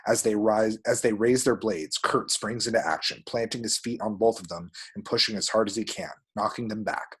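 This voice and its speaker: A man's voice: on the low side, husky. A man reading scripted screenplay action descriptions as the narrator of a table read. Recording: home recording.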